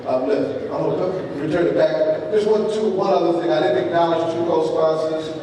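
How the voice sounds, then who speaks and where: A man's voice: on the high side, fairly even intonation, fairly quick, crowd noise. A man emceeing a live panel event, loose and conversational, with many "uh"s and "right?"s. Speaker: event host and moderator. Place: large hall at a live event. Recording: echoey hall from far off, faint noise.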